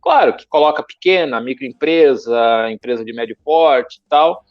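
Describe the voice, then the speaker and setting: A man hosting a casual interview show, lively, his voice jumping up when he puts his questions. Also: normal speed, dry recording. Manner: lively, pitch jumps up when asking questions. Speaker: talk show host. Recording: dry, dull lo-fi sound.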